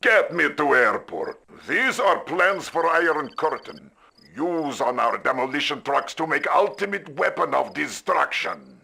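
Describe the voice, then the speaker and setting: An actor playing a gruff Soviet military character in a game, with a Russian accent and clipped broken English. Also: male voice, fairly high pitch, big pitch swings, measured pace, bright, forceful tone, heavily acted. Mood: urgent. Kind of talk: video game cutscene acting.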